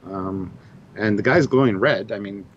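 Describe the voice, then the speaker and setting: A man with a lowish voice co-hosts a live chat from home and answers with deadpan, sardonic remarks. His video-call audio sounds dull and muffled, with a faint hiss behind it. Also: moderate pace. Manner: dry, sardonic. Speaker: co-host. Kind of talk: casual live discussion. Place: home room.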